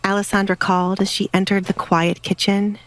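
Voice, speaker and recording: A woman's voice: medium pitch, flat delivery, a little fast, a bit bright. A woman giving scripted, dramatic third-person narration of a story.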